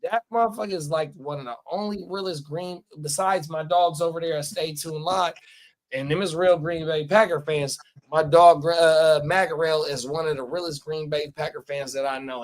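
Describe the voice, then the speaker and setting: A man in his forties talks loosely on a live watch-party stream. He swears often and repeats stock phrases for emphasis. His voice sits a touch high for a man. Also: normal speed. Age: 40s. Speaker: podcast co-host. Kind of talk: casual profane chat.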